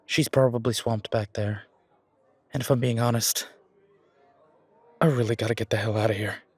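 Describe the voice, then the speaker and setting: A man performs an easygoing chef and restaurant owner in an audio roleplay. His medium-pitched voice is husky, with casual, folksy, reassuring delivery. The sound is dry and intimate. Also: steady tone, moderate pace, darkish tone, no echo, natural voice. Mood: restless, friendly.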